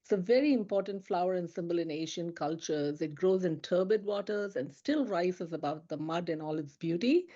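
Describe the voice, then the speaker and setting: A woman's voice: fairly low pitch, lively intonation, moderate pace, few pauses, dark tone, dull audio. A woman speaking as a panelist, earnest and reflective. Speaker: diversity program manager, panelist. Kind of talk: panel discussion.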